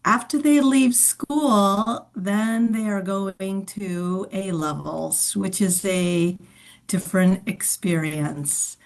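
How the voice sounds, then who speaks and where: A woman's voice: medium pitch, slow. A woman supporter asking friendly, curious questions on a webinar video call. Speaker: charity supporter.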